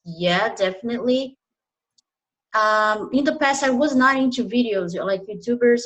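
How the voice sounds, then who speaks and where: Chatty, enthusiastic woman, mid pitch, video-call discussion. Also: poor audio. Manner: enthusiastic, chatty, peppered with "you know". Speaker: participant. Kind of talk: casual group discussion.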